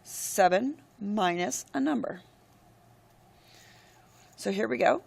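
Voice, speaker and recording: A woman's voice: medium pitch, slow pace, creaky, husky. A woman math teacher who is losing her voice walks through a screen-recorded lesson step by step. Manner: steady, step-by-step explaining with frequent "all right, go ahead".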